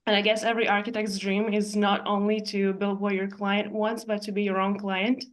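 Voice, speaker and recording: A woman from Ukraine, an architect giving an explanatory presentation in a measured way, pausing often to find her words. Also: medium pitch, little pitch movement. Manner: measured, explanatory, with frequent hesitations. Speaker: architect and real estate investor presenting. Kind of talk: presentation walking through slides.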